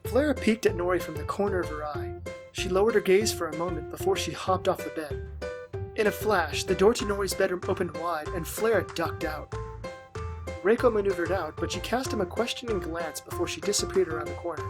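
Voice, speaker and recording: A woman's voice: fairly low pitch, fairly quick. A woman reading scripted narration for an audio drama. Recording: music behind the voice.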